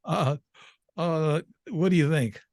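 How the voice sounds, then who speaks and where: A man's voice: on the high side, slow, a little mellow, dry recording. Hesitant older man, dry sound. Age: 70s. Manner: hesitant, agreeable, many yeahs and restarts. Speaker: host. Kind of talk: conversational interview.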